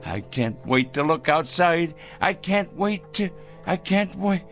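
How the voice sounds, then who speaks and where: A man's voice: a little high, animated, slow pace, fairly bright tone, faint music behind, full character voice. An actor playing a paranoid, smug middle-aged man in a 1960s TV drama, talking theatrically to his pet bird. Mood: exultant. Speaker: fanatical letter-writing crank.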